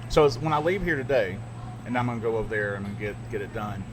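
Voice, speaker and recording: A man's voice: lowish voice, animated, fast. Rambling man on a noisy podcast. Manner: rambling, laughs at his own stories. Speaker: podcast co-host and radio DJ. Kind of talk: casual chat.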